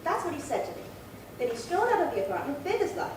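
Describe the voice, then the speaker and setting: A woman's voice: high voice, very animated, fast talker. A woman acting a prim, chatty, indignant old lady in her late seventies in a 1950s-style radio crime drama, fussy and rambling. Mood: earnest recounting. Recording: very echoey, distant, noisy room.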